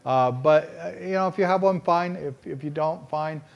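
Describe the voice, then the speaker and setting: A middle-aged man with a Texas accent, preaching in a folksy, conversational way and slowing down to stress key phrases. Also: fairly high pitch, vocal fry, dull audio. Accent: Texan. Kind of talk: sermon-style storytelling.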